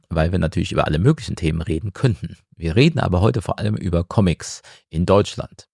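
A man hosting a podcast, interviewing a guest in a relaxed, chatty way. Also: low voice, creaky. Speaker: podcast host. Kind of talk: casual interview.

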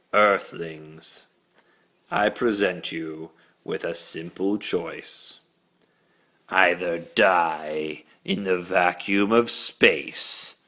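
A man's voice: deep voice, a bit bright, full character voice. An actor playing a callous, pompous male alien captain who declaims menacingly in a sci-fi comedy drama. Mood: slow, imperious. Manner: slow, drawn-out, menacing declamation.

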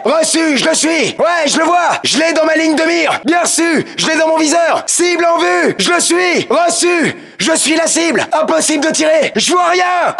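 High-pitched, gravelly special forces soldier. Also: male voice, a bit bright, full character voice. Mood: brisk, confirming. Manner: clipped, urgent military delivery. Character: tense, commanding male special forces soldier in combat. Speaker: soldier character in a dubbed military shooter game. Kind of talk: short shouted combat callouts.

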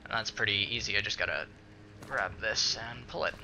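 A man's voice: on the low side, brisk, sharp tone, a little hiss or hum, rough recording. A man giving casual let's-play commentary, muttering to himself and swearing mildly as he plays. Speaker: gaming video host.

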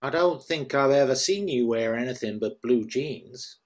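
A man acts out a plain-talking woman cashier at a screenplay table read. The voice is husky and lively in pitch, with a blunt, questioning manner. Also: normal speed, close to an everyday voice. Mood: puzzled. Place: indoor room at home, on a video call.

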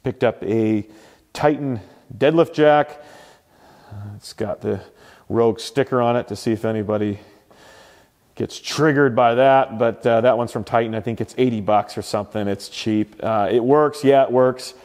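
A man's voice: medium pitch, normal speed, a little mellow, in a large hall. A man walking through his garage home gym, chatting offhandedly about his equipment, with loose fillers and self-corrections. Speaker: home gym owner giving a tour. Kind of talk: casual walkthrough commentary.

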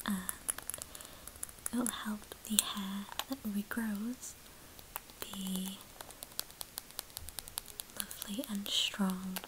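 A woman in her twenties doing an ASMR hairdresser roleplay in a soothing, breathy whisper, speaking gently and attentively to the listener. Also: mid-range voice, steady tone, very slow, pauses often, noisy background. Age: 20s. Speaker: ASMR creator playing a hairdresser.

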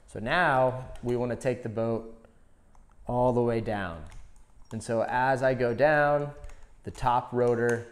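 A man's voice: mid-range voice, steady tone, takes it slowly. A man demonstrating a product in a conversational, step-by-step instructional style. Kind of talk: hands-on instructional explanation.

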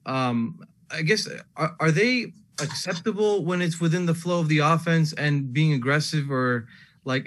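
A man's voice: on the high side, fairly bright tone. A man, a sports reporter asking a casual, hesitant and rambling press conference question.

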